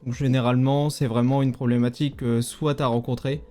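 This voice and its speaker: A man who works as a native mobile app developer, talking casually as a guest in an interview, relaxed and informal with frequent hesitations. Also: mid-range voice, brisk, soft background music. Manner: relaxed, informal, many fillers.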